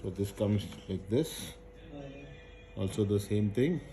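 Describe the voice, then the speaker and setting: Man, lowish voice, casual presenter feeling for words as he shows a product, noisy recording with packaging rustle. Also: lively intonation, warm tone. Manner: halting, searching for words, thinking aloud. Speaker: unboxing presenter. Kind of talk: casual unscripted product unboxing. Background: handling and crinkling of packaging.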